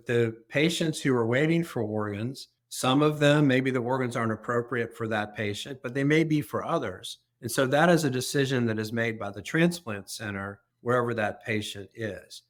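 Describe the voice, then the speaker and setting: A man, an organ donation executive, explaining earnestly as an interview guest, often repeating words as he starts a thought. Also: medium pitch.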